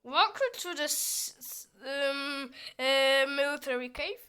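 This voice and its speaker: High, youthful-voiced woman gaming. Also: lively intonation, slow pace, bright, forceful tone. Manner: chatty and goofy, with squealing outbursts. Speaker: gameplay streamer. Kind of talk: casual gameplay commentary, reading game dialogue aloud.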